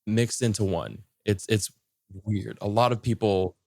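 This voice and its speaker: A man explaining folklore in casual conversation as a podcast guest, haltingly rephrasing and repeating himself as he goes. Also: fairly low pitch, creaky, darkish tone, dry recording, clean studio sound.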